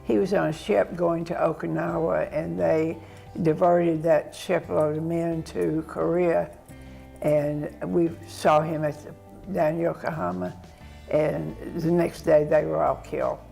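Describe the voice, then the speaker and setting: Slow, gravelly-voiced elderly woman. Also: low voice, fairly even intonation, mellow, soft background music, poor audio. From Tennessee, United States. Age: older. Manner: unhurried, with fillers and throat clearing. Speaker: veteran guest. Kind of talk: recalling wartime memories.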